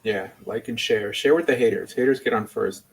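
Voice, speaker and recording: A man's voice: medium pitch, brisk, husky, low-quality recording. A man speaking casually as a rabbi and livestream host, rambling and opinionated in an informal way. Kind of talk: casual livestream chat and debate.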